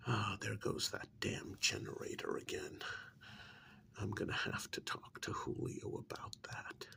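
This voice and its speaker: Man playing a cold covert-agency superior, heavy vocal fry, slow deliberate pace, soft half-whisper, condescending, ASMR role-play. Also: fairly low pitch, heavily acted. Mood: irritated. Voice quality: breathy, half-whispered, gravelly. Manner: measured, patronizing, deliberate, with pointed pauses. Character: cold, condescending male secret-agency superior briefing an operative.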